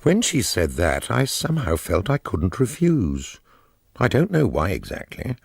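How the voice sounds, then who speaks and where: An actor playing a diffident, cash-strapped artist, educated, hesitant and wry, in radio drama dialogue and narration. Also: male voice, fairly low pitch, huge pitch swings, a little slow, creaky, slightly rough, dry recording, studio quality, heavily acted. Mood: reflective, dry.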